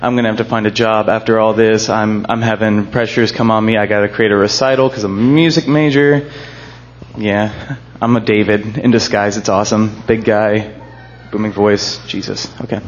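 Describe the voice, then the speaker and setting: A young man with a booming voice sharing a church testimony, earnest and a little shaky, joking about himself. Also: fairly even intonation, moderate pace, muffled recording, a little hiss or hum. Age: young adult. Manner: earnest, jokes about himself, laughs nervously. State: shaky with emotion. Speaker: college student sharing a testimony.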